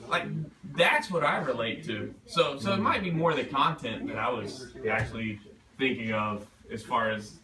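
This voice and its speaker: A man with a medium-pitched voice talks informally as an author taking questions. He rambles, thinking aloud in a self-deprecating way. He is picked up from a distance in an echoey room with a noisy background. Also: expressive, moderate pace, crowd noise. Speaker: author at a book reading. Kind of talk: informal question-and-answer talk at a reading. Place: bookstore event room. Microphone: distant room microphone.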